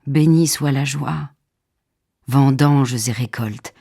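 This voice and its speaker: Female poetry reader for audiobook, breathy husky tone, unhurried solemn dramatic delivery. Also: extremely low pitch, mellow. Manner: measured, solemn delivery with deliberate phrasing. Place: radio theatre recording studio.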